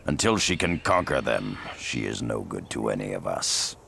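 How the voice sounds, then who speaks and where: An actor playing a grim, proud and vengeful beast-warrior rebel leader in a video game, with a deep gravelly growl and a terse, commanding delivery. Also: male voice, big pitch swings, moderate pace, bright, forceful tone, slight background noise, exaggerated voice. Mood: grim, measured. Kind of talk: dramatic video game acting.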